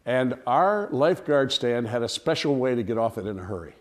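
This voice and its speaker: A man telling a humorous personal anecdote to listeners in an animated, theatrical way. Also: mid-range voice, a little fast. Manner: animated, theatrical storytelling with acted-out cries and dialogue. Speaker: storyteller, speaker to an audience.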